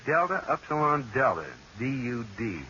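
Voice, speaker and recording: A man's voice: mid-range voice, very animated, moderate pace, close to an everyday voice. A man playing an affable, bemused banker husband in an old radio sitcom, genial and a little wry. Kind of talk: 1940s radio sitcom acting. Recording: muffled, hissy old radio recording.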